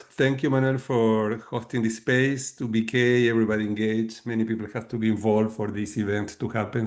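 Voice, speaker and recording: Middle-aged Argentine man, Spanish-accented English, steady flat delivery, methodical guest speaker. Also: fairly low pitch. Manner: measured, explanatory, frequent fillers and restarts. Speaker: guest author and leadership coach. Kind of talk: webinar talk.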